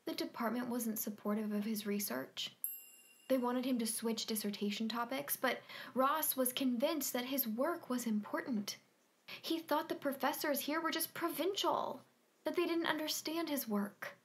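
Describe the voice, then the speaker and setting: A woman's voice: sits high, normal speed, lightly acted. A woman playing an earnest, timid but persistent young client, speaking plainly and probingly in a naturalistic audio play. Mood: insistent, earnest. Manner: plain, probing short questions and statements.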